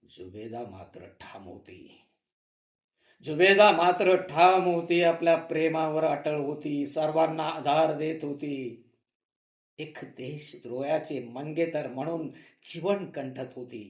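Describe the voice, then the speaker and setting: A man's voice: a little high, slow pace, dull audio. A man playing an anguished narrator who cries out for justice, declaiming a theatrical monologue with emphatic repetition. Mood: sorrowful storytelling. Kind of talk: theatrical monologue in a play reading. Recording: echoey room recording.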